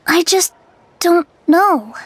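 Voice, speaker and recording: A lost, unsure young girl in a video game. Her voice is extremely high and plaintive. She speaks slowly and hesitantly, timid in every phrase. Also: lively intonation, dry recording, exaggerated voice. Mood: lost, uncertain. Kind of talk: English video game voice acting.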